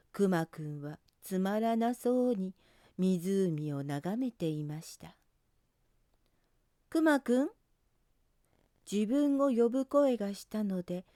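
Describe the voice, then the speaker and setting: A woman narrating a scripted picture book for children in a gentle, careful storytelling manner. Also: medium pitch, no echo, clean studio sound.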